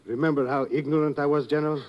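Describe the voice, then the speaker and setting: Actor playing a cornered statesman, earnest, formal, a bit high, dull old radio sound. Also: steady tone, quick pace, lightly acted. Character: troubled male head of a government, proud but cornered. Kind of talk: 1950s radio drama acting.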